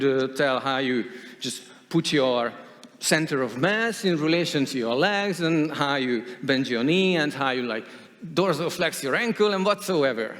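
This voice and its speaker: A man's voice: on the high side, a bit bright. A man giving a conference talk on stage, hesitant, with many fillers and restarts. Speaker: conference speaker. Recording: long hall reverb.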